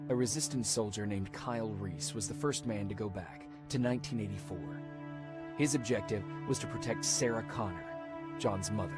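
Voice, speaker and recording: Man acting a low-voiced, earnest soldier. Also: normal speed, lightly acted. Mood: somber. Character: earnest, dutiful male soldier in a future war. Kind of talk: dramatic video game acting. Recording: game dialogue buried in loud music.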